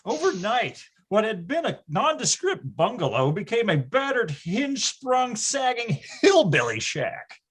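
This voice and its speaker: Man as high, animated, wry nostalgic narrator. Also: unhurried, few pauses, husky, full character voice. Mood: mock alarm. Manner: wry, storytelling, florid emphasis. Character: nostalgic grown man recalling his boyhood, wry and grandiose. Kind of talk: dramatic first-person narration in readers theatre.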